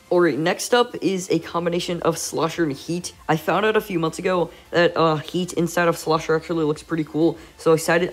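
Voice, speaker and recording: A congested young man with a nasal voice, doing a casual gameplay voice-over for his channel, rambling along with many "uh" fillers. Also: a little high. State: congested, stuffy nose. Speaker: gaming YouTuber.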